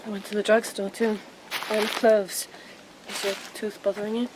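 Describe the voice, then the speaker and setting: An actress playing a guarded, secretive young lover and courier in a radio drama, with clipped, evasive phrasing. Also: female voice, medium pitch, level tone, normal speed, noisy background, natural voice. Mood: gentle, caring. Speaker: actor.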